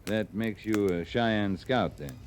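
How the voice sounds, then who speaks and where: An actor playing a terse, dry-humored cavalry captain in a 1950s radio western, with a gruff, blunt manner. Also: male voice, lowish voice, takes it slowly. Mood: dry, probing. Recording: old radio recording with background noise.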